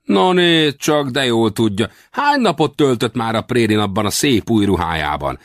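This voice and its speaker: A man's voice: mid-range voice, sing-song, dry recording, studio quality, pitched above the performer's own voice. A man voicing a cranky, proud little old Wild West hunter in a storybook, with blustering, exclamatory, folksy talk. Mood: puzzled, mocking.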